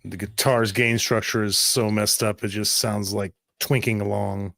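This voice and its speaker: A man's voice: on the low side, little pitch movement, normal speed, creaky, fairly bright tone, no room sound. A man narrating an improvised tabletop roleplaying game as game master.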